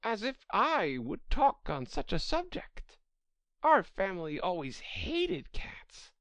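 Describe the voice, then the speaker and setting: A woman voicing a touchy, trembling male mouse in a children's storybook, shrill, passionate and deeply offended. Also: huge pitch swings, takes it slowly, a bit bright, no room sound, full character voice. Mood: outraged, disgusted.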